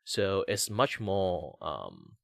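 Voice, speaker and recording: Young Malaysian-accented male streamer, lowish voice, loose rambling chat, dry close sound. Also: wildly swooping pitch, extremely slow, creaky, a bit bright, dry recording. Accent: Malaysian English. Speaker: streamer host. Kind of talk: casual chat while drawing.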